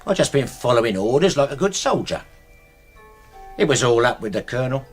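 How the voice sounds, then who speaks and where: A husky, deferential British servant. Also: male voice, medium pitch, very animated, a little fast, faint music behind. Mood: matter-of-fact. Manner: deferential, says "sir" constantly, plain-spoken. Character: deferential male servant, loyal ex-soldier, coolly confessing murder. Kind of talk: British radio drama acting.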